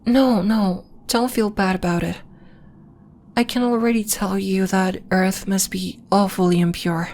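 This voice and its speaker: A woman playing a gentle, earnest alien temple healer in an ASMR roleplay, speaking in a measured, formal, reassuring way. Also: mid-range voice, fairly even intonation, natural voice. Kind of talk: slow, soft ASMR roleplay acting.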